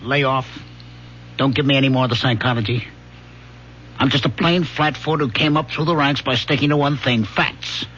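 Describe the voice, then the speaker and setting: A middle-aged, no-nonsense police lieutenant played by a man in an old radio drama. He is blunt and world-weary, with a forceful, bright voice on a muffled recording. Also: mid-range voice, steady tone, moderate pace, natural voice. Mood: irritated. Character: gruff, no-nonsense police lieutenant, plain flatfoot. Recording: dull lo-fi radio recording, faint hum.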